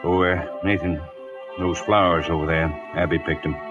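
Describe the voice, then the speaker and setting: A weary American post commander played by a male actor in an old radio western. His voice is deep and measured, with solemn authority, and comes through dull and a little distant on a vintage recording. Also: steady tone, music in the background, lightly acted. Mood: grave, kindly. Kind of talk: 1950s American radio acting.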